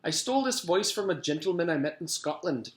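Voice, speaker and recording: A man voicing a sinister male teacher who steals children's voices, in a raised, smooth put-on voice. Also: fairly high pitch, lively intonation, fairly quick, fairly bright tone, roomy sound, pitched above the performer's own voice. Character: sinister male voice-thief teacher with three nostrils.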